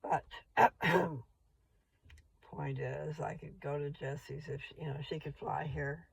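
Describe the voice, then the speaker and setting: An older woman with a rough, gravelly voice, rambling slowly and chattily as she vlogs from her parked car. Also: low voice, steady tone. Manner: meandering, slow, chatty. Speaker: vlogger. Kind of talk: rambling casual vlog.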